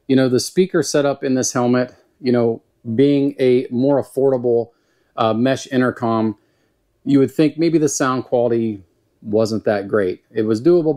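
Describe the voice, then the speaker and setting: A man with a Southern US accent giving a casual, plain-spoken product review in an unhurried, folksy way. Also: mid-range voice, a little mellow. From Southern United States. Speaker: YouTube gear reviewer.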